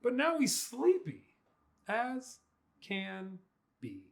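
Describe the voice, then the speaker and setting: A young man reads a picture book to children. His voice sits high for a man and swings widely in pitch. He takes his time, stretching words out in a playful, expressive style. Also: very slow, gravelly. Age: young adult. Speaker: pastor reading to children. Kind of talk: animated children's storybook read-aloud. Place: small furnished room indoors.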